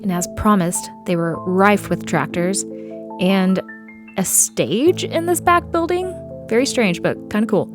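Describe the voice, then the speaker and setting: A young woman from Texas, chatting upbeat in a casual vlog while a little hoarse from a recent cold, full of "like" and "uh". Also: mid-range voice, animated, a little slow. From Texas, USA. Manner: chatty and upbeat, with many "like" and "uh" fillers. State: hoarse after losing her voice to a cold. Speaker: vlogger, maker. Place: inside her car, then out at estate sales. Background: music playing underneath.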